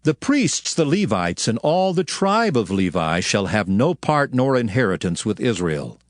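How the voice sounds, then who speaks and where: An actor voicing a solemn prophet proclaiming ancient law in a declamatory, emphatic dramatized scripture reading. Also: male voice, mid-range voice, big pitch swings, measured pace, no echo, studio quality. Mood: solemn, commanding. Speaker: scripture narrator.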